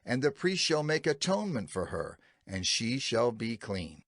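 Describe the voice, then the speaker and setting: A man reading scripture as a scripted audio Bible narrator, solemn and measured, with emphatic phrasing. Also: medium pitch, sing-song, a bit bright, no echo, studio quality. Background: background music.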